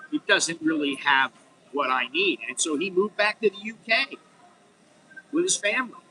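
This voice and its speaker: A man's voice: on the high side, bright, forceful tone, slight background noise, poor audio. A middle-aged American man talking frankly in a rambling, unscripted monologue, full of restarts and "you know.". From United States. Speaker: vlogger.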